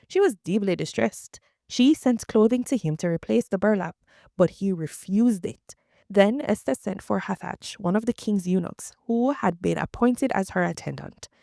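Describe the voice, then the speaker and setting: A woman reading scripture aloud in a measured, steady narration. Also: mid-range voice, creaky, no echo, clean studio sound. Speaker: scripture reader.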